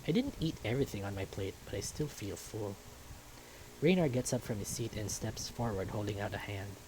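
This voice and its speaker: A man's voice: lowish voice, a little fast, background noise. A man reading a visual novel aloud as storytelling narration, now and then stumbling over a word.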